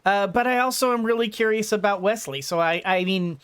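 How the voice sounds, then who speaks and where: A woman gently thinking aloud as she plays a farming game for her channel, her throat a little gunky today. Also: medium pitch, brisk, clear, resonant tone. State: slightly congested throat. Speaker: gaming YouTuber. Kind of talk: let's-play commentary.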